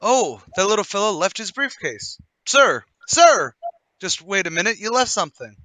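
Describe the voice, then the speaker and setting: Man playing a bright, chatty, curious fellow. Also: high voice, very animated, moderate pace, dry recording, voice pushed up, exaggerated voice. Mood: urgent, helpful. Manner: friendly, inquisitive, talkative. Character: chatty, curious, good-natured male passer-by. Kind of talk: radio play table read.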